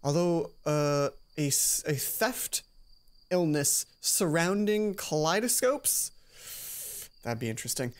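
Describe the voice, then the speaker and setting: A woman doing casual game commentary and reading a visual novel aloud, chatty and self-deprecating, reacting out loud to the story. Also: sits low, animated, takes it slowly, clear, resonant tone. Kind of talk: casual game commentary and narration with character voices. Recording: music behind the voice. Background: game music.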